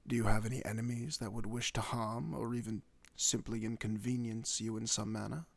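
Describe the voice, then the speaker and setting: A man playing a courteous werewolf doctor in a fantasy ASMR roleplay, speaking formally and reassuringly with old-fashioned wording and a husky voice. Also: on the low side, level tone, fairly quick, dry recording. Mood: inquisitive, calm.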